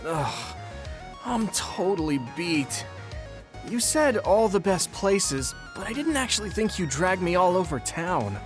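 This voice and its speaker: A sincere, kinda stiff young anime game hero, serious but really expressive intonation. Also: male voice, high-pitched, moderate pace, hardly any pauses, over music. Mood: exhausted. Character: earnest, reserved young man hero, stiff and dutiful. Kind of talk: English video game voice acting.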